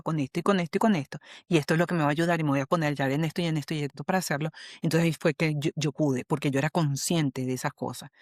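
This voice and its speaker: Animated Venezuelan woman, very dry sound. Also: deep voice, no room sound. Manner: animated, repeats "¿ok?" often. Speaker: guest, human resources specialist. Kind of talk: conversational interview.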